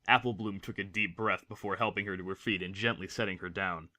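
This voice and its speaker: A male narrator with a fairly low, crisp bright voice. He reads a scripted story in a dramatic storytelling style. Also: fairly even intonation, a little fast.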